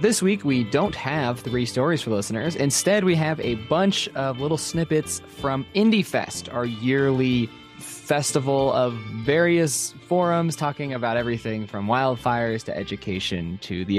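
A man's voice: mid-range voice, moderate pace, faint music behind. A man hosting a news podcast, talking in a friendly conversational way with his co-host. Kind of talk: casual podcast chat.

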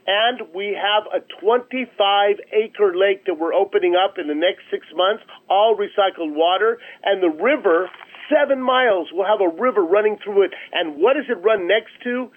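Phone-line audio, high-voiced man, emphatic, repetitive phrasing, promotional interview guest. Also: moderate pace, few pauses. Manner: emphatic, repeats phrases for effect. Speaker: charity chief executive, interview guest. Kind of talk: promotional radio interview talk. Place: remote call into a radio show. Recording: narrow, tinny phone line.

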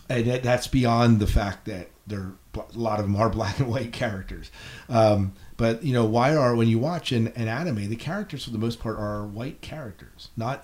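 Low-voiced man hosting a podcast. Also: a little mellow. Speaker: podcast host. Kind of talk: casual podcast discussion.

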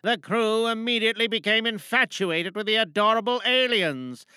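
A woman's voice: on the high side, moderate pace, pushed and bright, clear, resonant tone, dry recording. A woman chatting casually and playfully to listeners as she introduces her own monologue performance. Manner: playful, jokey.

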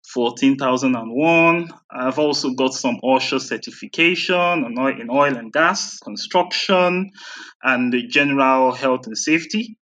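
A man's voice: mid-range voice, unhurried, sharp tone. A man with a Nigerian accent answering conversationally as an interview guest. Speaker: interview guest, health and safety coordinator.

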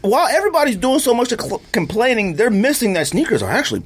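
A man hosting a podcast in casual chat, animated and often laughing, his voice leaping high when he is incredulous. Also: quick pace, slightly rough. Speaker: podcast host.

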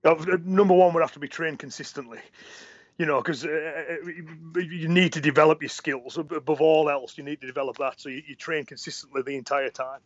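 A man's voice: on the high side, steady tone, a little fast, no room sound. Interview guest, man, encouraging and earnest, restarts words while thinking; dry, dull-sounding recording. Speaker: interview guest, martial arts teacher. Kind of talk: casual conversation. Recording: dry, muffled audio.

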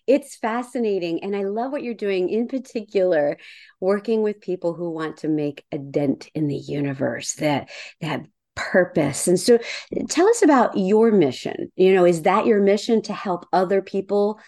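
A woman's voice: mid-range voice, moderate pace, mellow. A woman hosting a podcast, reading an upbeat scripted intro and then asking encouraging interview questions. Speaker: podcast host.

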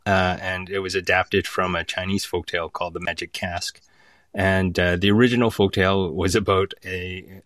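A man's voice: sits low, a bit bright, dry recording, studio quality. A man from Alberta with a Canadian accent, explaining conversationally as a guest writer in an interview. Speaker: guest writer of audio drama.

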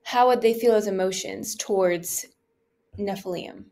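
A woman's voice: mid-range voice, a little slow, gravelly, a little mellow. A young adult woman co-hosting a casual question-and-answer chat, inquisitive and a little tentative as she asks. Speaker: co-host asking questions.

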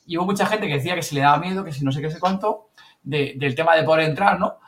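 A man with a Castilian Spanish accent rattles through a casual story in a bright, slightly high voice. He talks very quickly and colloquially, with the animated manner of a podcast host swapping anecdotes with colleagues. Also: distant mic. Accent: Spanish (Spain).

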